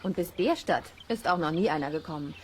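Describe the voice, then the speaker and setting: An actress playing a friendly, knowledgeable wolf biologist in a children's audio drama, speaking politely and explaining things with gentle scepticism. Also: female voice, sits low, lively intonation, brisk, noisy background. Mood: politely sceptical.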